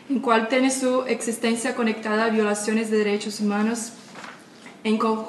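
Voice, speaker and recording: A woman's voice: fairly high pitch, far from the mic, a little hiss or hum. A Brazilian woman whose first language is Portuguese, giving an earnest, declamatory speech as an activist at a formal event, with a few slips and restarts. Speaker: activist speaker. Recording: echoey hall, speaker away from the mic.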